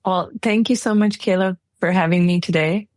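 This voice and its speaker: A woman in her forties from Pakistan, telling her life story in a relaxed interview. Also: lowish voice, moderate pace, no echo, clean studio sound. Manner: relaxed storytelling with light laughs. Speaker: guest, engineering executive. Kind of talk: casual interview answers.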